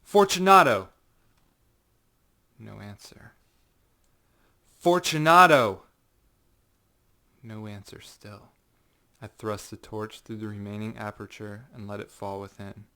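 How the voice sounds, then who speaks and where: A man playing a cold, vengeful gentleman who narrates a gothic tale in a theatrical, deliberate way that can swell in force. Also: on the low side, smooth, heavily acted. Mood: taunting, then cold, grim. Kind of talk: dramatic gothic audiobook narration.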